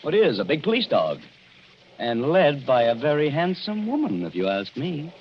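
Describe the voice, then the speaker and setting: An actor playing a skeptical young newlywed husband, wry and exasperated, in 1940s radio style. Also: male voice, on the high side, huge pitch swings, normal speed. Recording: muffled, noisy old radio recording.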